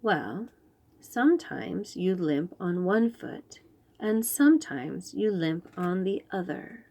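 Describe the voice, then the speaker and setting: A woman reading a storybook aloud, voicing a calm father who gently questions his daughter. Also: fairly low pitch, slow pace, exaggerated voice. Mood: doubtful. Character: gentle, doubtful father of a young girl.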